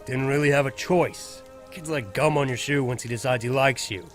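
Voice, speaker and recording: A man's voice: medium pitch, normal speed, soft background music, lightly acted. A man acting a sarcastic, hot-headed young survivor. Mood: wry. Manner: sarcastic, blunt. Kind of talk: fan audio drama dub acting.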